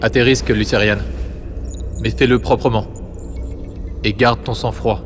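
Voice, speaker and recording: A man's voice: lowish voice, steady tone, unhurried, over music, lightly acted. Man playing a stern, commanding fleet admiral. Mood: commanding, controlled. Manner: clipped, authoritative orders. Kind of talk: science-fiction audio drama acting.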